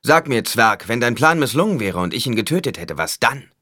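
A man's voice: mid-range voice, big pitch swings, brisk, sharp tone, no room sound, clean studio sound, voice pushed up. A man voicing a loyal male swordmaster in an audiobook, terse and grim with a growling edge.

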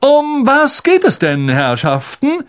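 A man voicing a vain, pompous teacher who talks in a patronizing, booming, theatrical way for an audiobook. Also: huge pitch swings, slow pace, slight background noise, exaggerated voice.

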